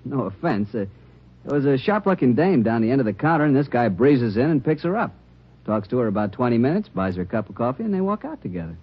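Husky, chatty diner counterman. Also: medium pitch, very animated, fast. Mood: chatty, casual. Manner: folksy, talkative. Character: chatty, slangy male coffee shop counterman. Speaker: supporting actor. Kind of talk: 1950s radio acting. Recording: muffled old radio recording.

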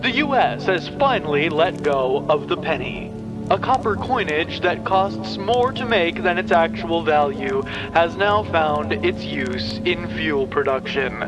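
A man narrating a scripted dystopian story in a measured, dramatic manner. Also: a little high, expressive, music in the background, low-quality recording.

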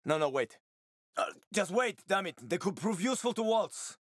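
An actor playing a wry, roguish middle-aged survivor in a video game, with a sardonic, slangy, casual way of talking. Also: male voice, high voice, animated, moderate pace, fairly bright tone, no room sound, clean studio sound. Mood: strained. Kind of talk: video game character acting.

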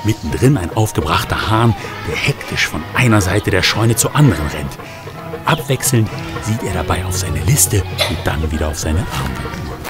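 A man with a very low voice narrates a children's radio play. His delivery is lively, and music plays beneath it. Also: moderate pace. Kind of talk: storybook narration.

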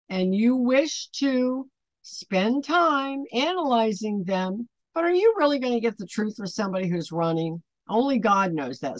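An older woman with an American accent talks informally to camera as an online ministry host. Her voice sits at a middle pitch. She speaks haltingly, then stresses words slowly for emphasis, in a small room with little echo. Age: 60s or 70s. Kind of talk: informal spoken commentary to camera. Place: small home office, indoors.

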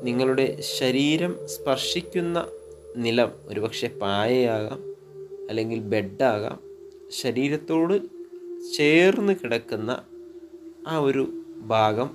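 A man with a Malayali accent guiding sleep hypnosis meditation, soothing and unhurried, pausing between short phrases over relaxing music. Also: medium pitch, lively intonation. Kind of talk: guided sleep hypnosis narration. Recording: relaxing music with singing bowls behind.

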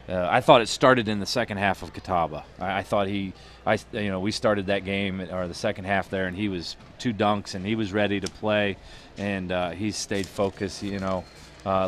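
A man's voice: on the low side, a little hiss or hum. A middle-aged man, a head basketball coach, giving a postgame interview in a thoughtful, rambling way full of restarts and fillers, on a headset mic. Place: small studio booth with a logo backdrop.

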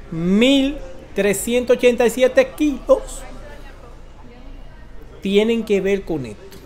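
Slow-paced Dominican man commenting on news. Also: very high-pitched. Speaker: online news commentator and host. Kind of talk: informal news commentary to camera. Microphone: close desk microphone.

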